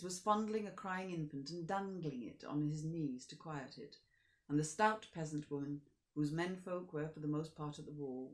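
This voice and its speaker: A woman actor reading a classic short story aloud in measured narration, often sinking to a soft near-whisper. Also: deep voice, roomy sound. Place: home during lockdown.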